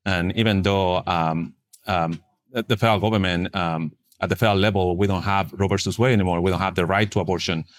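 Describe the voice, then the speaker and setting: Man, Chilean Spanish accent, very low voice, hesitant, lots of fillers, interview answers. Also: fairly even intonation, brisk, dry recording. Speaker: pro-life nonprofit fundraiser.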